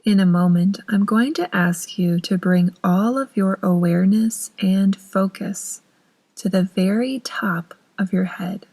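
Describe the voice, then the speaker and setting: A woman narrating a guided meditation in a soothing, unhurried manner with long pauses between phrases. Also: mid-range voice, warm tone.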